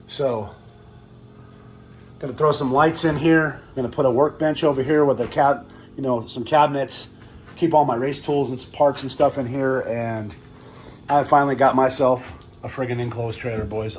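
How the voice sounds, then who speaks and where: A man hosting his vlog with a hoarse, worn-out voice, chatting excitedly about a new trailer. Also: fairly high pitch, slight background noise. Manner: excited, enthusiastic, loose and chatty. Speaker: vlog host. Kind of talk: casual vlog narration. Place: outdoors at a trailer lot.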